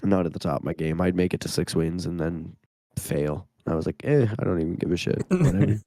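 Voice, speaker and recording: A man's voice: low-pitched, brisk, dark tone, dry recording. A man from Canada co-hosting a gaming podcast who is losing his voice, easygoing and laughing between phrases. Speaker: podcast co-host. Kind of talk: casual gaming podcast chat.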